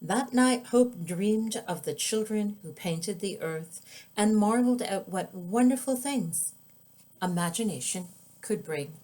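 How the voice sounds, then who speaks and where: A woman reading her own children's book aloud as storybook narration, with expressive, deliberate, drawn-out phrasing. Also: mid-range voice, low-quality recording.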